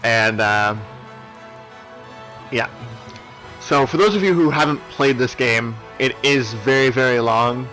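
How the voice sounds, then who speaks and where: Man, bright voice, casual rambling game commentary, hesitant, apologetic, faint music behind. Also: medium pitch, slow pace, long pauses. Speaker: let's play host. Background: video game cutscene music.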